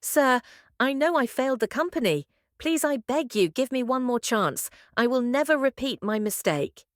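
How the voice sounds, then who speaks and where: A woman's voice: high-pitched, animated, normal speed, dry recording, clean studio sound, pitched above the performer's own voice. A woman voicing a humble, anxious male employee and husband, deferential and earnest, in a told folktale. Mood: begging.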